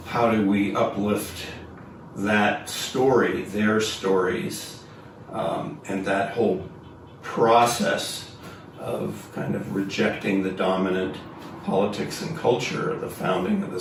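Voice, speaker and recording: A man speaking as an interview guest and organizer, explaining ideas reflectively and deliberately, pausing between phrases. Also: deep voice. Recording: very echoey room, distant mic, faint noise.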